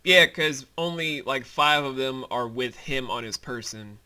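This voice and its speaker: A man's voice: medium pitch, unhurried, bright, forceful tone. A man giving casual behind-the-scenes commentary as creator and host, informal and emphatic, with some swearing.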